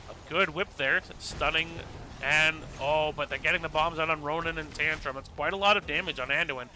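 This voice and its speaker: A man casting a live esports match with play-by-play commentary, sounding congested from a stuffy nose and rising to excited bursts during fights. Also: fairly high pitch, slight background noise. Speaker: esports caster.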